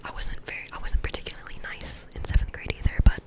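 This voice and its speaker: A young woman getting over a cold, softly telling rambling, self-deprecating stories from her school days in an ASMR whisper. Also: fast. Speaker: vlogger.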